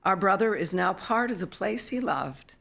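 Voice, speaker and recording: A mature woman reading a literary memoir at a live event in an expressive, solemn storytelling manner. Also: medium pitch, moderate pace, gravelly. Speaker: author reading own work. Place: theater stage, live reading.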